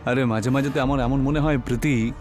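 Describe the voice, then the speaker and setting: A man's voice: mid-range voice, fairly even intonation, quick pace, darkish tone, soft background music, lightly acted. A man voicing a concerned young college student in cartoon dialogue. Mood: worried.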